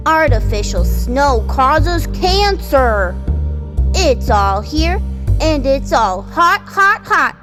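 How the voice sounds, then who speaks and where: A young tabloid newsboy from an old-time radio play. The voice is high and bouncy, drawing out punchy, repeated street cries. Music plays clearly underneath. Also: full character voice. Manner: punchy, repetitive street hawking. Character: eager young newsboy hawking a scandal tabloid.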